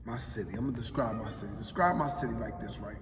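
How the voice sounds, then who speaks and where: A man's voice: mid-range voice, expressive, quick pace, a little hiss or hum. Philly guy, 40s, emphatic street talk, recorded from afar in a big echoey hall. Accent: Philadelphia. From Philadelphia. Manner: street-talking, emphatic, often adds "you know what I mean". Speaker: interviewee. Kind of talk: casual interview answers. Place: large echoey public building. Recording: roomy hall echo, distant mic, faint ambience.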